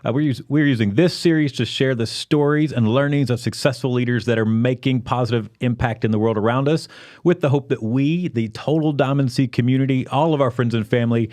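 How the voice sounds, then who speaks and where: Man, podcast host, encouraging, frequent fillers, mid pitch, dry close sound. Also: brisk, a little mellow, no echo. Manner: encouraging, lots of backchannel fillers. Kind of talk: podcast interview.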